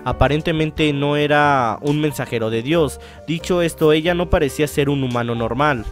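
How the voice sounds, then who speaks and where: A man's voice: fairly high pitch, normal speed, faint music behind. A man hosting a let's play, reading visual novel narration aloud and now and then stumbling and rereading phrases.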